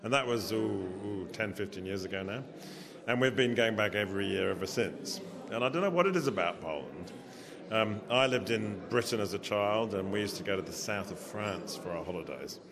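Man, middle-aged, lowish voice, anecdotal chat, fillers, crowd noise. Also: animated, moderate pace, gravelly. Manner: chatty, anecdotal, many fillers. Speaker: interviewee. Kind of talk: casual chat. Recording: crowd chatter behind.

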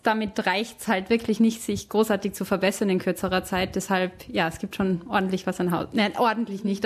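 A young woman from Tyrol with a light Austrian accent, a German teacher answering interview questions in a chatty way, often correcting herself. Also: medium pitch. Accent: Austrian, Tyrolean-tinged standard German. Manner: chatty, self-correcting, many fillers.

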